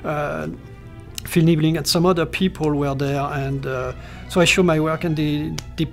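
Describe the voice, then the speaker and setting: French guy in an interview, kinda gravelly, stops and restarts a lot, soft music underneath. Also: fairly high pitch, steady tone. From France. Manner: halting, many fillers and restarts. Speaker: animation character designer. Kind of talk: talking-head interview, recollections.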